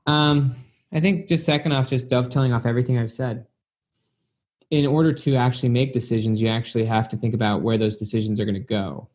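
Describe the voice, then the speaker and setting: A man's voice: mid-range voice, fairly even intonation, quick pace, a little mellow. A man speaking as a guest in a conversational, motivational style, earnest and often circling back to repeat his point.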